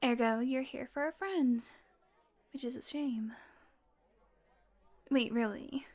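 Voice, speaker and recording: A woman's voice: high-pitched, takes it slowly, a little mellow. A woman playing a sardonic, flirtatious female vampire in an intimate audio roleplay, with a sarcastic, playful, casually profane way of talking. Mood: flirty, playful.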